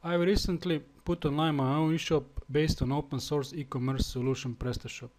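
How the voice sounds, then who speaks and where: A man from Slovenia with a Slovenian accent, speaking English as a second language in a halting screencast tutorial with fillers and restarts. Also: fairly high pitch, steady tone, a little mellow, slight background noise. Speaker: web developer.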